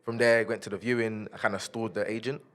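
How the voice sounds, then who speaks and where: A young man, chatting casually as a podcast guest in a relaxed, laid-back way. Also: on the low side, fast. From South East London, England. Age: 20s. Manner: relaxed, laid-back, lots of "yeah, yeah" fillers. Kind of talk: casual interview chat.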